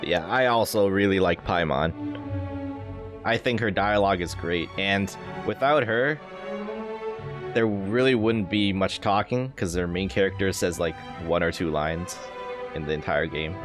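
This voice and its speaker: Deep-voiced, low-key male game streamer. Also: a little slow. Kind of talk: casual live game commentary. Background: game music.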